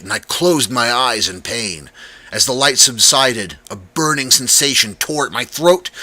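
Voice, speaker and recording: A man's voice: mid-range voice, sharp tone, dry recording. A man reading a first-person story aloud as a dramatic audiobook narrator.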